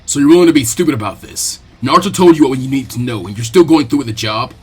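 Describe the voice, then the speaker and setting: A man voicing a stern, protective adult ninja team leader in a story reading, speaking low with a firm, wry, lecturing manner. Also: lively intonation, quick pace, a little mellow, slightly rough.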